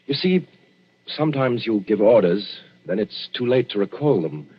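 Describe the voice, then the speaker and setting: An actor playing an anxious, irritable gentleman doctor, stammering and agitated, in a 1940s radio drama. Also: on the low side, lively intonation, moderate pace, husky. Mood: brooding. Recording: dull vintage 1940s radio recording, music.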